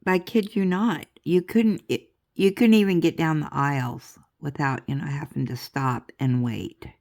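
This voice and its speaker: Older woman vlogger, low gravelly voice, stuffy and chatty, unhurried rambling delivery. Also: fairly even intonation, darkish tone. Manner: rambling, chatty, laughs often. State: stuffy nose. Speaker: crafting vlogger. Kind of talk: casual chatty vlog.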